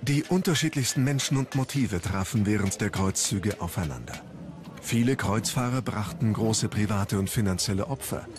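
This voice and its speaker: A man's voice: on the low side, animated, moderate pace, noisy background. A man reading scripted documentary narration as a narrator. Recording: loud music under narration. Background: loud background music.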